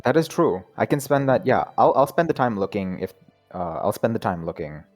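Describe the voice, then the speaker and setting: A man plays in a casual tabletop game, his voice sitting a little low. He talks in a laid-back, chatty way, full of "like" and easy laughter. Faint music runs in the background. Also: big pitch swings, brisk, a little mellow. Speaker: player.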